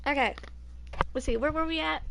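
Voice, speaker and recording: A woman's voice: sits high, brisk, vocal fry, fairly bright tone. A woman in her twenties doing a casual game playthrough, reading text aloud and talking to herself unhurriedly. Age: 20s. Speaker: gaming video creator.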